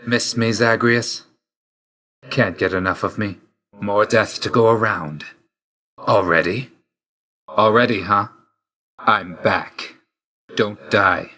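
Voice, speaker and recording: A video game god of death with a low voice and a hard, forceful edge. He is grim and reserved, and he speaks in terse, solemn phrases. A dry loyalty sits under the sternness. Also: slow pace, pauses often, slight background noise. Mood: curt, wry. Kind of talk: scripted video game voice lines.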